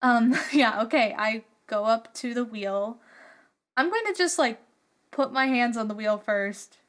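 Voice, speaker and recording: A woman's voice: fairly high pitch, unhurried, a bit bright. A woman improvising as a player in a tabletop roleplaying game, casual, earnest and hesitant in how she talks.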